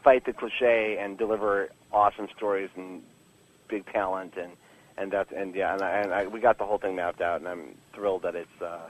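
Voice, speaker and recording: A man with a fairly low voice talks as an interviewed writer on a casual podcast. He is informal and candid, often stopping and restarting his sentences as he rambles along, with a joking streak. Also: fairly even intonation, brisk, poor audio. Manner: rambling, stop-start delivery with many false starts. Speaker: comic book writer being interviewed.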